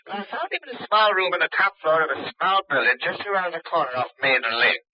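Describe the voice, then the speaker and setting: An actor playing an earnest, deferential police subordinate in an old radio crime drama, stammering and rambling. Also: male voice, on the high side, animated, fast talker, no echo. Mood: eager, flustered. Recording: muffled, band-limited old radio recording.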